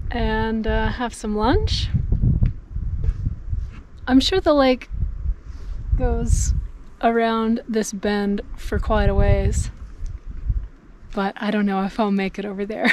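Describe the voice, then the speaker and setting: A middle-aged woman vlogging on a mountain trail, talking slowly in a slightly high voice. She is easygoing and laughs at herself. Clear stream and wind noise is picked up by her small outdoor camera. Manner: chatty, laughs often, relaxed and self-deprecating. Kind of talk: casual hiking vlog. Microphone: action camera, handheld or at arm's length.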